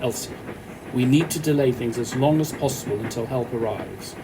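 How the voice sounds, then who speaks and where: A man playing a calm off-duty policeman in a radio play, speaking in a measured, reassuring, authoritative way. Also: lightly acted. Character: Mike, calm, commanding off-duty policeman on a train. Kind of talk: amateur radio play acting. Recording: noisy, train carriage rumble behind.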